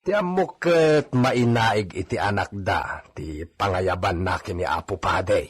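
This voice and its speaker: A man with an Ilocano accent and husky voice playing a gossipy, matter-of-fact villa servant in a radio drama. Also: sits low, expressive, a little slow, exaggerated voice. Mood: confiding.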